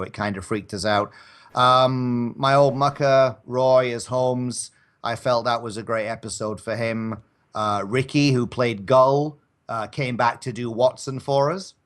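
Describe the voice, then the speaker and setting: A man's voice: lowish voice, a bit bright. A man chatting casually as a guest on a live show, rambling and modest about his own work. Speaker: guest, audio drama maker.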